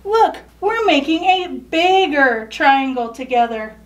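A woman's voice: sits high, expressive, takes it slowly, distant mic, muffled recording. A woman voicing an eager, cheerful trapezoid character from a picture book, keen and pleading to be included. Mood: hopeful. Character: eager, cheerful trapezoid shape who wants in.

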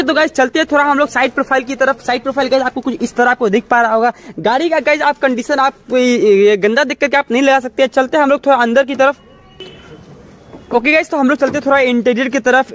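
High-voiced young man touring used cars. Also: fairly bright tone. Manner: repetitive filler-heavy presenting, constant "guys". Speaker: car showroom video host. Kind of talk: walkaround car tour, addressing viewers. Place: outdoor used car lot.

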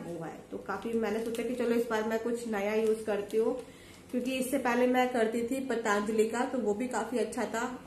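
A woman in her thirties chatting to camera at home, explaining each item she holds up. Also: a little high. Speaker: vlogger showing a grocery haul. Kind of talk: casual chat to camera. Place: home living room, indoors. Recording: echoey room with faint ambient noise.

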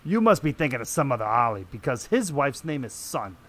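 A man's voice: mid-range voice, sing-song, fairly quick, a bit bright. A man playing a hard-boiled detective, telling his story in terse, dramatic first-person noir narration. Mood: guarded. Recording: echoey room, music underneath. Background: music.

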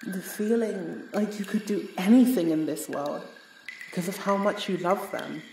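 A woman playing a bitter, heartbroken yandere with supernatural power in a dramatic audio roleplay, speaking in an emotional, halting way. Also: mid-range voice, gravelly, mellow, distant mic, close to an everyday voice. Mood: sorrowful, wounded. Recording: roomy sound with faint noise.

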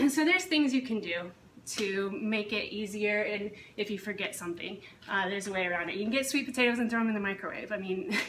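A woman's voice: mid-range voice, a bit bright. A woman in her thirties, a fitness coach, talking casually and matter-of-factly to camera as she explains her routine in a home kitchen. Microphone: camera a few feet away. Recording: echoey kitchen, distant mic, faint hiss.

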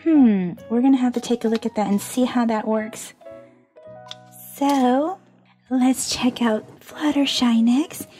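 A gushing, giggly woman with a high voice unboxes toys at a tabletop and calls everything super awesome. She is under the weather and her voice is starting to go. Soft music plays faintly underneath. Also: slow pace. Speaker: toy unboxing host. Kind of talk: cheerful toy unboxing narration. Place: indoors at a tabletop toy display.

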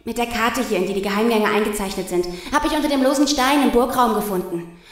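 Give this voice a high pitch. It is a resourceful young girl detective who takes the lead , acted by a woman in a children's radio play. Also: fast talker, natural voice. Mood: urgent, decisive. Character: Lina, resourceful girl detective taking charge.

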